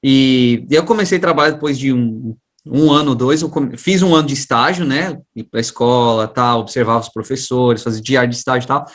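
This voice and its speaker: A man's voice: mid-range voice, fairly quick. A man with a São Paulo-area Brazilian accent, an interview guest telling his own story in a casual, slangy, chatty way. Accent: Brazilian, São Paulo region. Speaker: guest, science teacher and education researcher.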